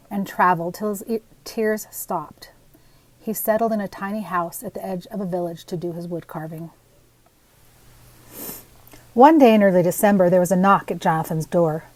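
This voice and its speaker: A woman's voice: mid-range voice, darkish tone, no room sound, poor audio. An older woman, narrating a children's story aloud with expression. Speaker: storybook reader.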